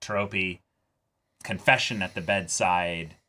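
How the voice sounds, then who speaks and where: A man co-hosting a reaction show, talking casually and excitedly in an animated, rambling way and acting out imagined dialogue. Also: low-pitched, takes it slowly. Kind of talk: casual excited chat about an anime episode.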